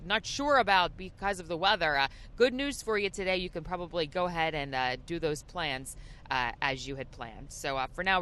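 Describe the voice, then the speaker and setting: A woman field reporter giving a live TV report from a moving vehicle, with a halting delivery full of hesitations. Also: on the low side, fairly bright tone. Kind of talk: live TV traffic and weather report. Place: inside a moving vehicle on the road. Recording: road and vehicle noise behind voice.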